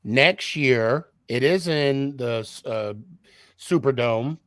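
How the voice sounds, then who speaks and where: A man's voice: medium pitch, slow pace, fairly bright tone, dry recording. A man chatting casually and jokingly as a panelist on a sports show.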